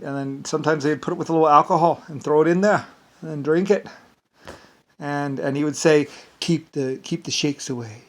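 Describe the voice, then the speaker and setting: An American man with an Irish-sounding lilt, talking in a rambling, meandering casual monologue. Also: fairly high pitch. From United States. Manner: rambling, meandering, leans on "basically".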